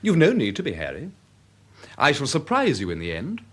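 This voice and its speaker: An actor playing an idle, breezy young Victorian gentleman student with an upper-class English accent in a radio drama. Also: mid-range voice, wildly swooping pitch, normal speed, breathy edge, exaggerated voice. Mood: sheepish, assured. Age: young adult. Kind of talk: period radio drama acting.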